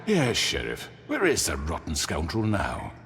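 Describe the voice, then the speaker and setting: An actor playing a suave, sly older crime boss in a dramatic game, speaking formally and with smooth condescension. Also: male voice, sits low, huge pitch swings, measured pace, fairly bright tone, exaggerated voice. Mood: smooth, composed. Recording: long reverb, music behind.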